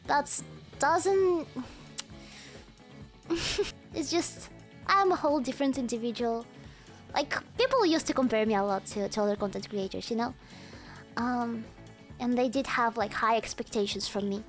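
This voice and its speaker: A young woman streamer with a hard-to-place mixed accent, chatting casually to her viewers with lots of fillers and laughter. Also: high voice. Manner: talks to chat, frequent laughter and fillers. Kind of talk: casual livestream chat.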